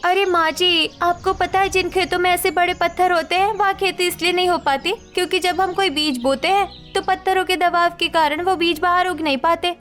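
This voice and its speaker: A woman's voice: very high voice, fairly even intonation, normal speed, few pauses, a bit bright, soft background music. A woman voicing an earnest, educated young village bride in a cartoon story. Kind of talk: cartoon moral story acting.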